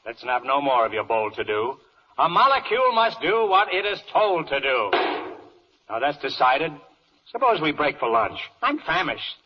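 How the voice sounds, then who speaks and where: An actor playing a pompous, dithering older judge in a 1940s comic radio play in rhyming verse, blustering and hemming and hawing. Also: male voice, fairly high pitch, very animated, moderate pace, background noise. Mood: self-satisfied. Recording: dull old radio broadcast recording.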